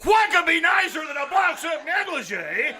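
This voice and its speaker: A man's voice: very high voice, very animated, moderate pace, bright, forceful tone, shouting, some chatter behind, exaggerated voice. A man playing a flustered, apologetic school principal who stammers and repeats himself, in a live old-time radio comedy. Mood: flustered pleading. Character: flustered, apologetic male school principal, blustering with his superior. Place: small-town theater stage, live performance. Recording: noisy live theater stage recording.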